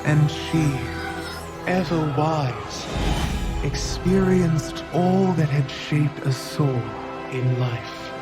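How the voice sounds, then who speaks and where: Solemn, very slow otherworldly narrator. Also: male voice, fairly high pitch. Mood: solemn, reverent. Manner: grave, portentous, measured. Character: solemn male otherworldly narrator of a game cutscene. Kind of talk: scripted dramatic narration. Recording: loud orchestral music behind. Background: loud music.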